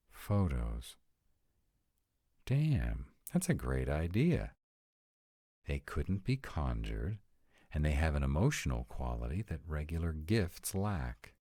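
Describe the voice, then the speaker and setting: A man acts out a sardonic male vampire in a roleplay audio drama, with an extremely low voice that swings expressively up and down. He takes his time over each exasperated, dryly emphatic line, recorded close and dry. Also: dark tone, dry recording, heavily acted. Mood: hopeful, pleading. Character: sarcastic male vampire, exasperated, anxious about in-laws. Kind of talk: scripted roleplay audio monologue to a partner.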